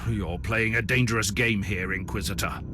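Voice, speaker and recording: A man playing a stern, guarded psyker Inquisitor in a video game, speaking formally and gravely in terse phrases. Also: lowish voice, steady tone, moderate pace, pushed and bright. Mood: wary. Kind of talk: dramatic video game dialogue. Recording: music behind the voice.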